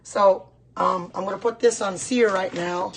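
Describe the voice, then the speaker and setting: A middle-aged woman with a hoarse voice, chatting casually and repeating herself as she hosts a live cooking demonstration. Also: medium pitch, steady tone, unhurried, noisy background. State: hoarse voice, clearing throat at times. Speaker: host of a live home cooking stream. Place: home kitchen.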